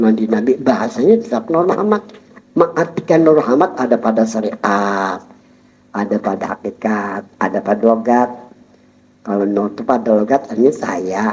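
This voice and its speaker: A man giving a Sufi study-circle lecture, explaining calmly and chuckling while he addresses his listeners. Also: lowish voice. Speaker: religious teacher. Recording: dull lo-fi recording.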